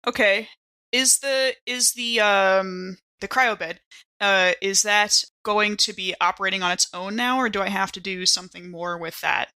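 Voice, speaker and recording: A woman's voice: mid-range voice, moderate pace, bright, forceful tone, clean tone. A woman playing a tabletop roleplaying game, bantering and reacting casually.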